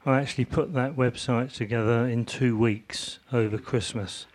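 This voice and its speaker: A man's voice: mid-range voice, fairly even intonation, normal speed, darkish tone. A man speaking up from the audience at a community meeting, hesitant with many fillers. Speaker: audience member, volunteer who built the website. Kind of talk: meeting discussion.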